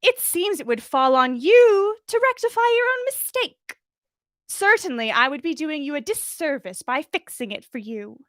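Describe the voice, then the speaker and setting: A woman's voice: sits high, sing-song, moderate pace, dry recording, voice pushed up. A woman voicing a prim, haughty older witch who scolds in over-enunciated, sickly sweet, condescending formal speech for a story.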